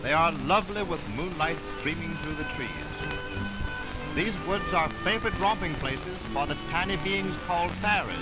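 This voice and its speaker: A man's voice: fairly high pitch, moderate pace, low-quality recording. A man narrating a play in a scripted, storybook-like 1920s radio style. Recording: vintage 1929 radio aircheck. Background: prominent music.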